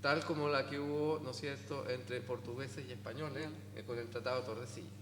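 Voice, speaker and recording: A man's voice: medium pitch, steady tone, a little fast. A man speaking as the invited lecturer, talking with rhetorical asides and tags that check in with the audience. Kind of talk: lecture discussion. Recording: echoey hall with humming noise.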